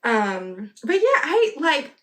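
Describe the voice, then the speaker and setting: A woman's voice: high-pitched, wildly swooping pitch, takes it slowly, fairly bright tone. A woman vlogger giving a casual, chatty product review in a rambling, slangy, conversational way.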